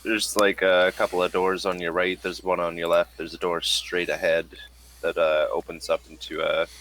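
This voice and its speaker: Low-voiced man running a tabletop game. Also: level tone, a bit bright. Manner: halting, searching for words. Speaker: game master. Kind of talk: improvised narration of a tabletop roleplaying game.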